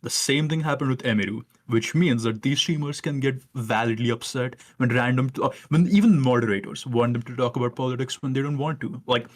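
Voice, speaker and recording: A man in his late twenties with an Indian accent, arguing heatedly as a caller and peppering his talk with "like," swears and interruptions. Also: mid-range voice. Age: late 20s. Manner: fast filler-heavy talk, many "like"s, swears, interrupts. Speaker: caller debating the host. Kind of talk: heated casual debate.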